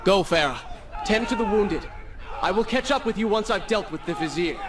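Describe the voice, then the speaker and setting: An actor playing a brooding, sardonic young warrior prince with a vengeful streak in a video game cutscene. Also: male voice, high voice, animated, normal speed, a crowd in the background. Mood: resolute, angry.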